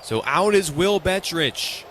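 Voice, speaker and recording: A man's voice: high voice, lively intonation, slow, a bit bright, a little hiss or hum. An American man doing live radio play-by-play of a football game in clipped, present-tense phrases.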